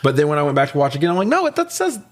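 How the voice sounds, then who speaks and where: A man hosting a movie review podcast, recapping the film casually with opinionated, sweary commentary and animated retelling. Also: a little high, very fast.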